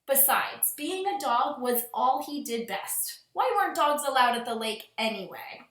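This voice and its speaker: A woman teacher reading aloud to young children in an expressive storytelling manner. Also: high-pitched, a little slow, reverberant. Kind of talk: expressive picture-book read-aloud.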